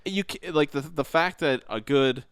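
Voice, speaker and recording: A man's voice: on the high side, normal speed, fairly bright tone, clean tone, no room sound, studio quality. A man co-hosting a podcast in casual gaming chat, informal and opinionated, with a habit of complaining. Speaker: podcast co-host.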